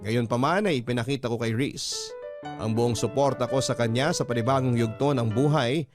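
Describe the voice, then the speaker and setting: A man's voice: medium pitch, normal speed. A man reading a love story as scripted radio-style narration, in the role of storyteller. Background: music.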